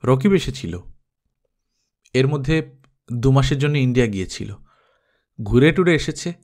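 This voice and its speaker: A man's voice: mid-range voice, measured pace, darkish tone, lightly acted. A man playing a talkative, wistful middle-class elder brother in an audio story, rambling on matter-of-factly. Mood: awkward, rambling.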